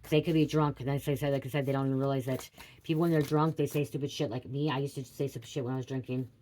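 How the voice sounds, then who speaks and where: A woman's voice: extremely low pitch, fairly even intonation, very fast, dark tone, slight background noise. A middle-aged woman talking casually and confessionally, blunt and sweary, repeating phrases for emphasis. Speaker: host giving a spiritual reading.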